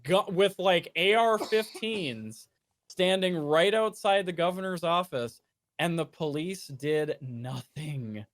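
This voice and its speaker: A man's voice: on the high side, slow, no room sound. Earnest young man talking things through. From United States. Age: 20s. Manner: earnest, thoughtful, many restarts and fillers. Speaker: streamer guest. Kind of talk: casual conversation.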